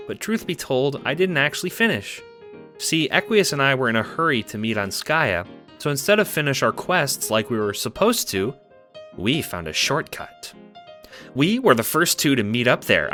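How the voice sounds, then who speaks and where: A man voices a chatty young female cat-like alien troll. The delivery is playful and very animated, swooping around as the character narrates an audio drama over music. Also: mid-range voice. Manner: rambling, playful storytelling.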